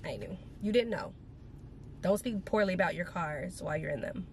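A woman's voice: on the low side, expressive, normal speed, a little mellow, a little hiss or hum. A woman vlogger talking casually and informally to her viewers, with chatty asides in a girly manner.

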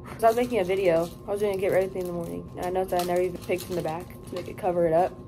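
A woman's voice: on the low side, steady tone, very fast. A young woman with an American accent chatting casually for a vlog in a hotel room. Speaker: vlogger. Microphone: camera or phone at arm's length. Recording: clearly noisy room sound.

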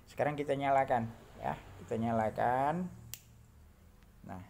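A man narrating an informal hands-on demo, halting and muttering to himself as he works, recorded indoors on a handheld phone. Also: medium pitch, gravelly, a little mellow, slight background noise. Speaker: hobbyist electronics builder demonstrating circuits. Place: indoor home workbench.

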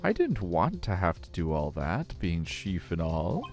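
A man puts on an older police chief for a courtroom game, smug and jovial with menace underneath. The delivery is theatrical and mocking, swinging widely in pitch, with a creaky edge. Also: wildly swooping pitch, soft background music, exaggerated voice. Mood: smug, taunting. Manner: mocking, drawn-out, theatrical chuckles. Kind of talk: voiced visual novel playthrough.

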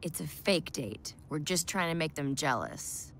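A woman voicing a dry, sarcastic hooded teen girl superhero in a cartoon, with a blunt, deadpan delivery. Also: sits low, measured pace, slight background noise. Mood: flat. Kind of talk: cartoon voice acting.

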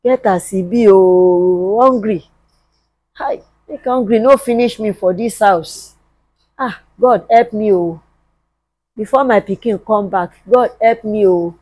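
A woman's voice: sits high, no echo, lightly acted, low-quality recording. A woman acting a hungry, long-suffering mother in a film drama, pleading repetitively and plaintively with a Nigerian accent. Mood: weary pleading. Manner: plaintive, repetitive begging with drawn-out wails.

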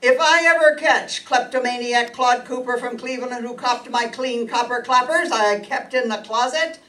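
An older woman playing an earnest, aggrieved robbery victim in a comic radio drama parody, matter-of-fact and deadpan. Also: on the high side, moderate pace. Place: community meeting room stage with audience. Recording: distant mic, noisy room.

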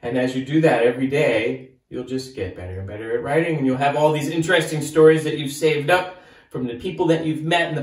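A man in his thirties, a children's author chatting to kids in an animated storytelling style. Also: a little high, roomy sound.

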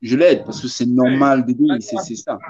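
A man's voice: mid-range voice, fairly even intonation, normal speed, a little mellow, breathy edge. A man in his fifties, a former footballer, talking emphatically as a guest on a video call and repeating his points. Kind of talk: casual interview on a video call. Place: indoors, small room. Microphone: phone or webcam on a video call. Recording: slightly dull video-call audio.

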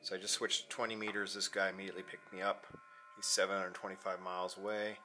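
American man, deep voice, bright edge, casual muttered-to-himself narration, handheld camera, background noise. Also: flat delivery, fast talker. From United States. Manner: offhand, half talking to himself. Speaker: vlogger, amateur radio hobbyist. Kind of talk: casual vlog narration while operating radio. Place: unfinished basement with metal shelving. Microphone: handheld camera mic at arm's length.

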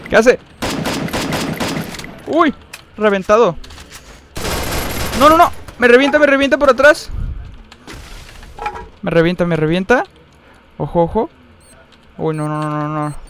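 A young man with a Mexican accent giving excitable, slangy live commentary while playing a mobile shooter, with frequent high outbursts. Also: a little slow, long pauses, slight background noise. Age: young adult. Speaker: gaming streamer. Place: indoor room at a desk, facecam. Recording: game music underneath. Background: game music.

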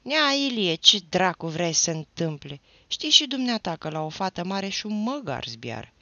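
A man shouting as a furious young village lad. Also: fairly high pitch, dry recording, natural voice. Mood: agitated. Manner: shouting, rapid, pleading in anger. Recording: dull, dry lo-fi recording.

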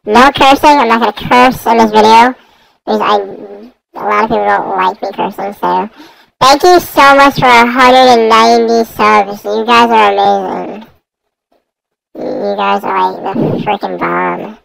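A gaming YouTuber heard through a voice changer, giving casual, slangy and repetitive gameplay commentary in a gravelly voice. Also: medium pitch, a little slow, a bit bright, low-quality recording. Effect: voice changer raising the voice.

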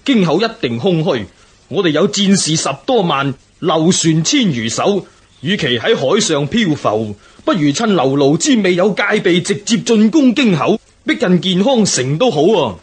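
A man's voice: a little high, sing-song, normal speed, breathy edge, exaggerated voice. An actor playing a rebel adviser who speaks earnestly and emphatically to his general in a historical radio drama. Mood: urging.

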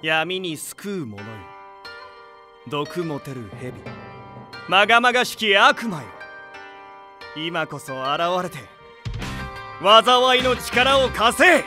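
A man's voice: sits high, very animated, slow pace, pauses often, music in the background, exaggerated voice. A man playing a pompous, vain young occult swordsman in an anime-style audio drama, with grandiose archaic samurai speech. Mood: ominous incantation. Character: pompous, vain, occult swordsman youth, theatrical and easily flustered.

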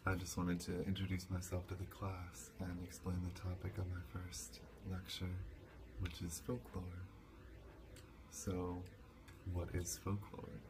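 A young man playing a calm college professor in a soft-spoken ASMR lecture roleplay, measured and didactic. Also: low voice. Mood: calm, instructive. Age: young adult. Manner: measured, didactic, with rhetorical questions. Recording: clearly noisy, hissy home recording.

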